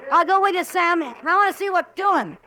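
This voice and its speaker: A woman's voice: extremely high pitch, lively intonation, normal speed, sharp tone, full character voice. An actress playing a spirited, tart-tongued frontier woman in an old-time radio western, pointed and wry. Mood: eager.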